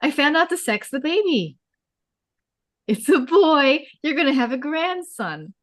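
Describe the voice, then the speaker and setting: A woman's voice: high voice, big pitch swings, a little slow, no echo, clean studio sound, pitched above the performer's own voice. A woman at a script table read playing a pregnant young daughter, earnest, tender and hopeful. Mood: excited.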